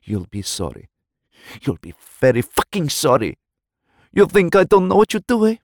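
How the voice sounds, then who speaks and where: A man's voice: fairly high pitch, wildly swooping pitch, normal speed, voice pushed up. A man voicing a heartbroken young woman who hisses her anger through tears. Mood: furious. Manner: hissing, tearful rage.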